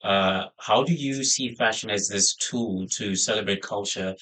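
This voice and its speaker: A male podcast host with a fairly low voice runs an interview. He puts his questions together slowly, with uhs and small restarts along the way. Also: pushed and bright. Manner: halting questions with fillers. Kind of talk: interview hosting.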